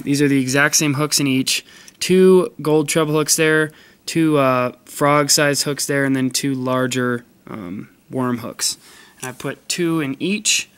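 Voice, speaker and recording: A man's voice: mid-range voice, a bit bright. A young man, a college student, casually hosting a fishing channel, testing a tackle box with plenty of ums and uhs. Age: young adult. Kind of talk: casual product test vlog. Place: carpeted room indoors.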